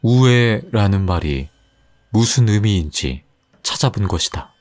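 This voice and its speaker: A man reading a horror tale in a deliberate, unhurried way, his lowish voice rising and falling with lively intonation. Faint music plays softly behind him. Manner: slow, suspenseful. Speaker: storyteller. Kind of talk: scripted horror narration.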